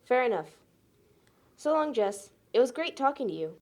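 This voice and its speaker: A woman plays a friendly young guest at a party. Her casual voice is a touch high and moves around a lot in pitch. It is film dialogue, easygoing throughout. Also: sing-song, normal speed, a little mellow.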